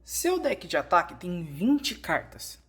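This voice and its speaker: A woman's voice: lowish voice, sing-song, slow, husky. A woman with a Brazilian accent reads scripted instructional narration, explaining things step by step as in a fan-dubbed tutorial.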